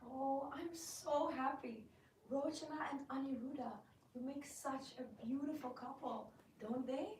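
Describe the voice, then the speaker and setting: A woman playing a sweet, anxious peacemaking queen, gentle and effusive, in a theatrical reading. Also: sits high, unhurried. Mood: joyful. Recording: echoey room, distant mic, background noise.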